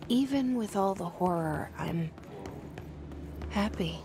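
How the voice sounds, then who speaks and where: A young, introspective game heroine, murmurs her private thoughts with an American accent. Her delivery is very slow and hushed, with a mid-range pitch and loud noise behind it. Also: expressive. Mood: awed, content. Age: young adult. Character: introspective, uneasy young woman thinking to herself. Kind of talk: inner monologue in video game.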